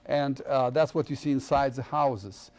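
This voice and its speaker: A middle-aged man, a professor guest on a TV studio show, explaining carefully with pauses and fillers. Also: medium pitch, fairly even intonation, darkish tone.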